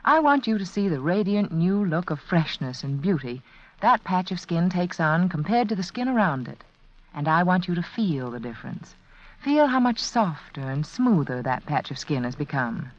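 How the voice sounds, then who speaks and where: A woman reading a 1940s radio beauty advertisement in a measured, persuasive way. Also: on the low side, very animated. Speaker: beauty product spokeswoman. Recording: dull vintage 1940s radio broadcast.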